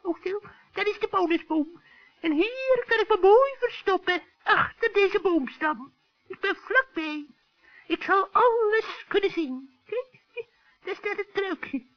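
A woman's voice: very high voice, animated, slow, exaggerated voice. A woman playing a gleeful, scheming old witch in a children's radio play, gloating and cackling as she talks to herself. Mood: sly, gloating. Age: older. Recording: dull lo-fi old radio recording.

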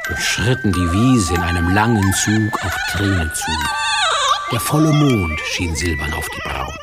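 An actor playing a wry, atmospheric storyteller, narrating a children's radio play. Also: male voice, low-pitched, animated, a little slow, over loud music. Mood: solemn.

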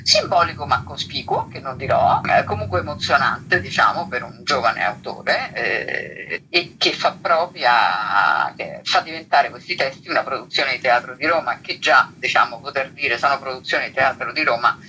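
Bright, forceful man, casually ironic. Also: medium pitch, very animated, moderate pace, few pauses, slight background noise, poor audio. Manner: informal, colloquial, playfully ironic. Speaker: interview guest, theatre project organizer and tutor. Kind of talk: casual radio interview talk.

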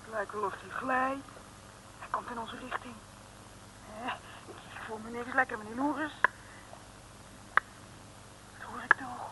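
A woman's voice: a little high, fairly even intonation, unhurried, long pauses, natural voice. An actress playing a pert, polite young female detective's assistant, nervy but observant, in 1960s comic radio play style. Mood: nervous. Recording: hissy old radio recording.

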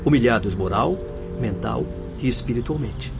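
An actor playing a severe, formal spirit guide in a radio drama, explaining didactically over music. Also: male voice, medium pitch, animated, moderate pace, creaky. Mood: severe, grave. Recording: dull lo-fi audio under music.

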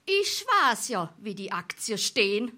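Saucy Hessian barmaid, animated. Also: female voice, medium pitch, a little slow, exaggerated voice. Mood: teasing scolding. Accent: Darmstadt Hessian. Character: saucy, sharp-tongued barmaid fending off a scrounger. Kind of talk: dialect stage comedy acting.

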